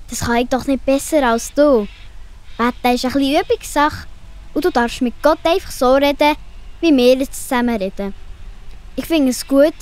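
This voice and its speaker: Lady playing perky swiss german little girl, very high voice, caring big-sister type, kids audio drama. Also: natural voice. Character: caring, perky young schoolgirl, a confident sister. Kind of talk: children's audio play acting.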